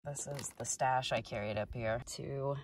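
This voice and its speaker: A woman's voice: a bass voice, fairly even intonation, moderate pace, a little hiss or hum. A woman in her thirties narrating a casual cycling vlog outdoors, upbeat and chatty, recorded on an action camera. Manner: upbeat, chatty, tour-guide commentary. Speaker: vlogger. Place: outdoors on a mountain road, riding a bike. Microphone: action camera on the bike.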